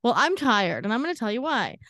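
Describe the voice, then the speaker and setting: A woman's voice: on the high side, very animated, brisk, a bit bright, no echo, studio quality. A woman co-hosting a podcast, chatting in an upbeat way and introducing a guest. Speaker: podcast co-host.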